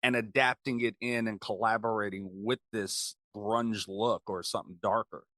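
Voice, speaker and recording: A man's voice: lowish voice, steady tone, moderate pace, sharp tone, no echo, clean studio sound. A man hosting a podcast, chatting casually as he interviews a guest.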